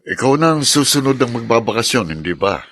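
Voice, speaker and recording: A man's voice: mid-range voice, very animated, a little fast, a bit bright, no room sound. An actor with a Filipino accent playing a fatherly, frank army captain counselling in a conversational way in a radio drama. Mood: friendly, advising. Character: fatherly military captain, frank, advising senior officer.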